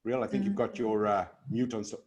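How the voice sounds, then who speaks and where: A man's voice: on the low side, sing-song, fairly quick, darkish tone. A man hosting a video call panel, encouraging and asking questions with hesitant fillers. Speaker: host.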